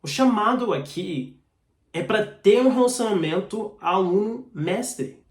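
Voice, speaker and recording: A man delivering a sermon with a noticeable foreign accent, since Portuguese is not his first language. His voice sits fairly high for a man, and he teaches in an earnest, direct way. The recording has a roomy echo. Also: expressive, unhurried. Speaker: preacher. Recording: echoey room.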